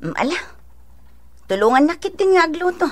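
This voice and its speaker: An animated, gently chiding mother. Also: very high voice, brisk. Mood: patient advice. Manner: gently admonishing, expressive. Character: sensible mother and elder sister giving patient advice. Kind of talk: Ilocano radio drama acting.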